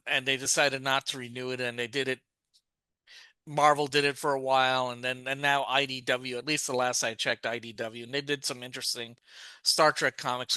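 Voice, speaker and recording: A man's voice: medium pitch, pushed and bright, smooth, dry recording. A man co-hosting a podcast, chatting casually and rambling through thoughts with many restarts and asides. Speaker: podcast co-host. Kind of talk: casual discussion.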